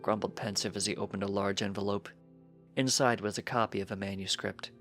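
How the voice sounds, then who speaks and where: A man's voice: on the low side, fairly even intonation, fairly quick, a bit bright, soft background music. A man reading a story aloud as a scripted narration. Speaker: fan fiction reader.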